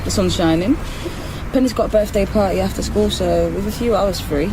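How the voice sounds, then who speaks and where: An actress playing an easygoing live-in nanny in a naturalistic radio drama, informal, coaxing and playful. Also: female voice, mid-range voice, a little fast, lightly acted. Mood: light, coaxing. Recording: noisy outdoor traffic ambience. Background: vehicles, outdoor ambience.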